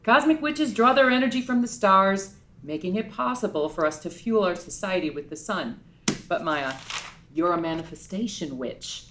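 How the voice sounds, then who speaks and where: A woman's voice: medium pitch, moderate pace, slight background noise, lightly acted. A woman voicing a stern head witch at a magic academy in a casual table read. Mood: explaining. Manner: lively, scolding and theatrical.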